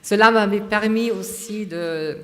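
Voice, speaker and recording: A woman's voice: medium pitch, measured pace. Woman lecturing in an echoing hall. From Austria. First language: German. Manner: hesitant, many fillers and restarts. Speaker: conference speaker.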